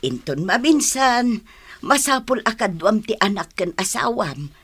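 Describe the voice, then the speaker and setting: A woman with an Ilocano accent playing an affectionate older village mother in a radio drama, doting and expressive. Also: medium pitch, unhurried, husky, no room sound. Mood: fond, wistful.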